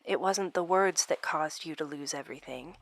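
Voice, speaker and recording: A woman's voice: low-pitched, normal speed. An actress playing a smug, playfully cruel supernatural woman in a horror audio drama, speaking in a mocking, theatrical way. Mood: condescending. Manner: mocking, theatrical, breaking into chuckles and sighs.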